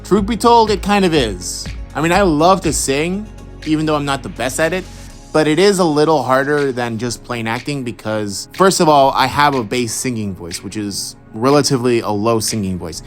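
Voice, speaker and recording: A young man from Houston talks through fan questions about his voice work in a relaxed, rambling way, stopping and restarting his thoughts often. His voice sits at an ordinary middle pitch. Soft music plays faintly underneath. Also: moderate pace. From Houston, Texas. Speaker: voice actor answering follower questions. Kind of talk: casual Q&A monologue.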